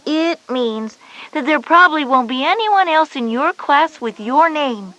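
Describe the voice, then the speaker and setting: A schoolgirl big sister, with a high voice and lively swooping intonation. She explains things patiently but a little bossily, stressing her points in a scripted children's educational story. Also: unhurried, full character voice. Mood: helpful, chatty. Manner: explanatory, emphatic. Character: knowing, patient but slightly bossy older sister Jenny, schoolgirl.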